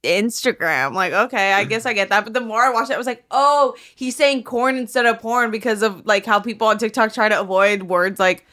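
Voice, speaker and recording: A woman's voice: on the high side, fairly quick, hardly any pauses, pushed and bright. A woman co-hosting a podcast, swearing freely and teasing her friends as she tells stories in casual chat, acting out quotes as she goes. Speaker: podcast co-host.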